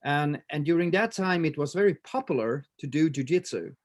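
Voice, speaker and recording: A man in his fifties with a Swedish accent, talking in a casual interview with many pauses, fillers and restarts. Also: a little high, expressive. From Sweden. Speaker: martial arts instructor, guest.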